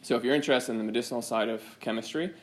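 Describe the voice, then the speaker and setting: Male chemistry teacher, loose and halting classroom talk, noisy screencast audio. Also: mid-range voice. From United States. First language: Swedish. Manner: informal, hesitant with many ums. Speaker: chemistry instructor. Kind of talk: classroom lecture to students.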